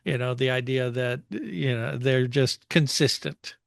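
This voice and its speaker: A man's voice: medium pitch, a little slow, gravelly, no room sound. Man casually co-hosting sports talk, dry studio sound. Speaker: motorsport show co-host.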